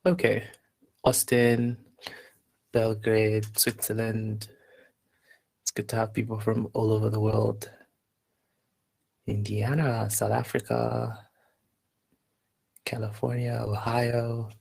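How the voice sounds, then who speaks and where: Slow, low-key man with a creaky voice. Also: level tone, darkish tone, low-quality recording. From Zambia. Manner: unhurried, halting, reading out chat replies. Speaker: workshop instructor and host. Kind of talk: casual live online workshop welcome, chatting with attendees.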